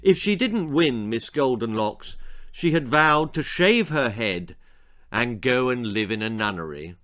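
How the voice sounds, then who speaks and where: Man in his 60s, dramatic storybook narration, expressive, medium pitch, savours words. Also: unhurried. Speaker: author reading own stories. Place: small book-lined room indoors.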